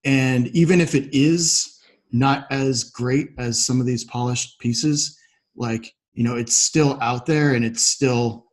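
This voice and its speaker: A man with a medium-pitched voice hosts a podcast conversation. He sounds agreeable and thoughtful, working through his ideas in long, loosely rambling sentences. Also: steady tone, takes it slowly. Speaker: podcast host. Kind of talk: conversational interview.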